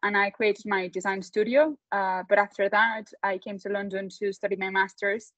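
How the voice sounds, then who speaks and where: A woman's voice: medium pitch, moderate pace, no echo. A young adult woman from Mexico City, a native Spanish speaker, chatting casually as a panel guest with fillers and small laughs. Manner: relaxed, frequent fillers and laughs. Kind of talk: casual online panel talk.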